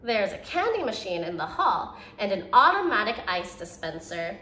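A woman's voice: mid-range voice, big pitch swings, moderate pace, bright, forceful tone, echoey room, a little hiss or hum, low-quality recording. A young woman who teaches preschool, reading a picture book aloud to children and voicing the quoted lines with expression. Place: indoor room by a brick fireplace.

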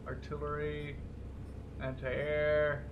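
A young man giving casual, excitable gameplay commentary, swearing freely as he reacts out loud to the game. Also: a little high, slow, narrow, tinny audio, noisy background. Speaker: gaming video host. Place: small room at home, indoors.

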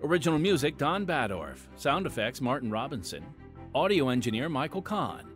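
An American man hosting a devotional podcast in scripted narration, with measured, emphatic, dramatic phrasing. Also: medium pitch, big pitch swings, fairly bright tone, soft background music. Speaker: podcast host and preacher.

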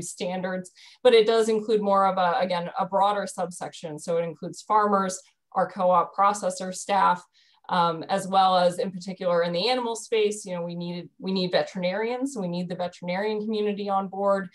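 A woman's voice: mid-range voice, fairly even intonation, brisk. A woman in her thirties explaining a dairy program on a video call from home, earnest, with frequent ums and restarts. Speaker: dairy program staff presenter. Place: bedroom at home. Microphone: video call webcam.

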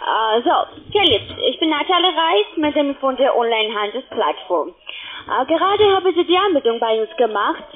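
High-pitched woman on a thin phone line. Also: big pitch swings. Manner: halting non-native German syntax. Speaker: telesales caller. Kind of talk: scripted sales call.

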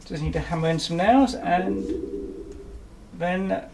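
A man with a croaky voice from a sore throat, chatting casually and self-deprecatingly with many ums while he works in a small room. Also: high-pitched, slow. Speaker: model railway hobbyist vlogger. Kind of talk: casual narration while working. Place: indoors in a small room, bedroom layout. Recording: noisy home camera recording.

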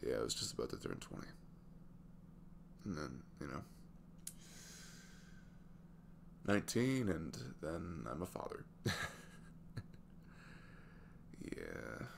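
Very deep, gravelly young single dad voiced by a man. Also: background noise, heavily acted. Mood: wistful, confiding. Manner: halting, soft-spoken, trailing off with fillers. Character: sweet young single dad, weary, wounded, confiding. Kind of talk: intimate ASMR roleplay, hesitant conversational delivery.

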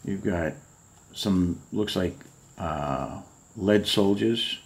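Deep-voiced man rambling about model kits. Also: extremely slow, slight background noise. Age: middle-aged. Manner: rambling, musing aloud, many fillers. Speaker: model kit collector showing books. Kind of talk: casual show-and-tell vlog. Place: home room full of model kit boxes.

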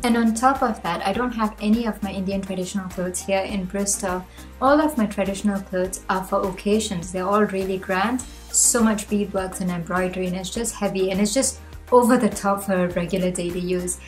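Earnest young woman vlogging from home. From Malaysia. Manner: earnest and chatty, with lively rises in pitch. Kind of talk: talking to camera, personal reflection. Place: home room with bookshelves, indoors.

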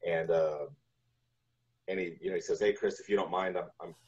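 A man's voice: sits low, steady tone, normal speed, a little mellow. A man in his forties chatting casually as an interview guest, telling stories from his work. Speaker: guest, video game composer. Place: home room on a video call. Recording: dull lo-fi video call audio.

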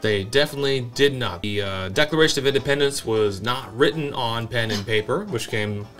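An American man in his thirties co-hosting a show, narrating comic history and bantering in a dry, deadpan manner. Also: mid-range voice, a little fast. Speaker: co-host of a whiskey review show. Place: small indoor home-style bar set. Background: background music with guitar.

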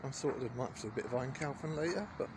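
A man's voice: mid-range voice, racing, noisy background. A man in his forties rambling casually through a walk-around vlog in a wry, understated, chatty way, recorded on a handheld phone. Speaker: vlogger. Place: outdoors in a garden. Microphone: handheld phone at arm's length.

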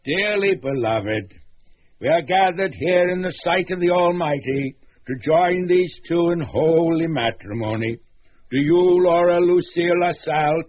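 An actor playing a solemn reverend in a radio drama, formal and measured as in a ceremony. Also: male voice, on the high side. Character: solemn reverend conducting a wedding. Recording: muffled old radio recording, music behind.

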